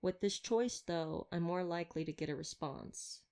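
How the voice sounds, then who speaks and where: Wry female vampire hunter, voiced by an actress, slightly low voice, glib flirty banter, caring underneath, intimate audio roleplay. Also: moderate pace, darkish tone, close to an everyday voice. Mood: soft, caring.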